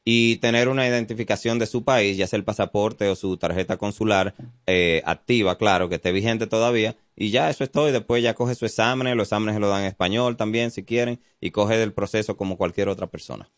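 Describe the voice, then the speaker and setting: Brief: Dominican police officer on radio, very low voice, calm explainer, dry studio. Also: male voice, a little fast, dry recording. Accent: Dominican Spanish. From Dominican Republic. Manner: explanatory, calm, many fillers. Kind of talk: informative radio interview. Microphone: studio mic.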